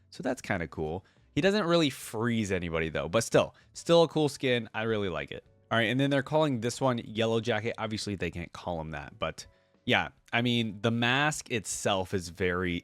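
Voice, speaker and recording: A man's voice: fairly low pitch, dry recording. A man hosting a casual reaction commentary on game skins, thinking aloud in an informal way. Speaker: YouTube reaction host.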